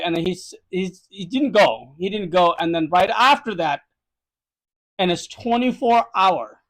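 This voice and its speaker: A man with a high voice and a Nepali accent talks as a podcast guest. He gives a personal account conversationally, in non-native phrasing, repeating words for emphasis. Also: rough recording. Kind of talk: conversational first-hand account.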